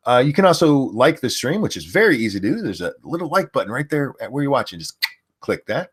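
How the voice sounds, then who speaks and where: A man's voice: medium pitch, big pitch swings, fast talker, husky. An older man hosting a livestream, mocking what he reads aloud in crude, sarcastic, swearing commentary. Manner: reads chat and an article aloud. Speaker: livestream host.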